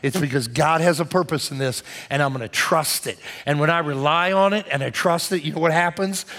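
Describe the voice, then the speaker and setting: A man's voice: fairly high pitch, fairly quick, a bit bright. A man giving a conversational sermon as pastor, repeating his points for emphasis. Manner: conversational preaching with emphatic repetition.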